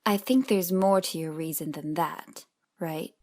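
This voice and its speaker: A woman's voice: low-pitched, measured pace. A woman playing a stubborn, vengeful young female fighter in an audio drama. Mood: wary. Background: loud background music.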